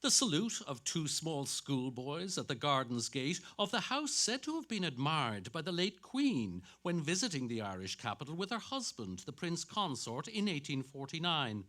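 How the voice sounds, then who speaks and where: A man's voice: fairly high pitch, sing-song, moderate pace, hardly any pauses, a bit bright. A man performing a dramatic reading of literary narration as an actor.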